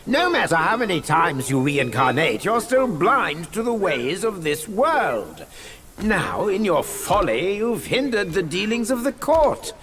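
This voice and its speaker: A man's voice: sits high, sing-song, unhurried, fairly bright tone, full character voice. An actor playing a scheming, cruel court sorcerer who taunts his foe in a game cutscene. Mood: contemptuous. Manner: taunting, sneering.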